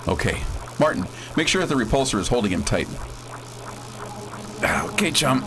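A man playing a bold scientist who leads a space crew in a radio drama, brisk, folksy and commanding. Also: medium pitch, very animated, background noise, natural voice. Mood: firm, matter-of-fact. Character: bold, decisive space-hero scientist and leader.

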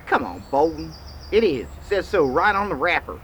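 A grumbling, defensive henchman in a western radio play, played by a man. His voice is fairly high and swings expressively, with long reverb around it. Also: big pitch swings, moderate pace. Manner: complaining, defensive. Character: grumbling, put-upon male outlaw sidekick in western. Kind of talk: western radio drama acting. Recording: long reverb, faint background noise.

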